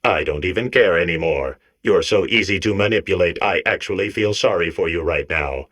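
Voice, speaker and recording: A man voicing a sullen, manipulative, self-contradicting robot core in a comedic video game, deadpan and contrary. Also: sits low, a little fast.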